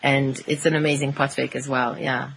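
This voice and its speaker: A woman's voice: extremely low pitch, little pitch movement, normal speed, gravelly, slightly rough, low-quality recording. A woman on a podcast panel, chatting casually and with enthusiasm about fan fiction. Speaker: podcast panelist and podfic narrator.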